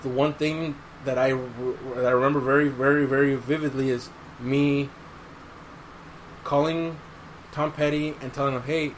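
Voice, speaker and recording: A man's voice: medium pitch, steady tone, moderate pace, rough recording. A man talking casually as a podcast host, recounting stories in a conversational way. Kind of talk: casual chat. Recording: clear background noise.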